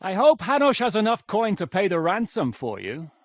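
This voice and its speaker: An actor playing a gruff, battle-hardened rebel commander in a video game, barking orders, swearing and mocking his foes. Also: high voice, wildly swooping pitch, moderate pace, no room sound, clean studio sound, full character voice. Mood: commanding.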